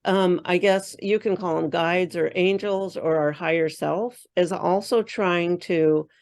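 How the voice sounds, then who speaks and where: Woman, low voice, spiritual coach guest, rambling loosely with fillers. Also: a little slow, a little mellow. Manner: thinks aloud with many fillers and restarts. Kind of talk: casual interview chat.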